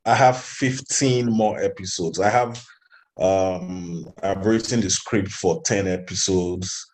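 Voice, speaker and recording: Low-voiced man, halting interviewee. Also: darkish tone. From Nigeria. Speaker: animation studio founder being interviewed. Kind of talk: conversational interview answers.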